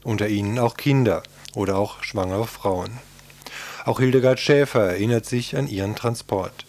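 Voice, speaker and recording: A man's voice: on the low side, normal speed, a little mellow. A man reading scripted documentary narration as a radio reporter, formal and sober.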